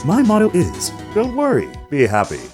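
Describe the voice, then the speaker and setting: A man's voice: high-pitched, wildly swooping pitch, normal speed, music in the background. A man voicing a smug, flamboyantly arrogant company president in a theatrical video game fan dub, with a pompous, grandiose way of talking. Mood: smug, taunting. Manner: pompous, grandiose, mangles big invented words.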